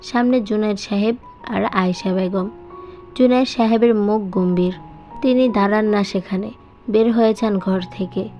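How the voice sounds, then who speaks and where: A woman's voice: mid-range voice, fairly even intonation, normal speed, dark tone. Woman narrating a story over music. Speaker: audiobook narrator. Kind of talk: dramatic scripted story narration. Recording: dull sound with music behind.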